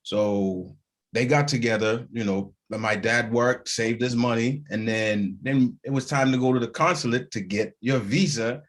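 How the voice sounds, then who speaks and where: A man's voice: mid-range voice, moderate pace. A man from Haiti, a guest actor, telling a story in a casual interview, laughing at himself and acting out the people he quotes. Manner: animated storyteller who acts out quoted voices and laughs at himself.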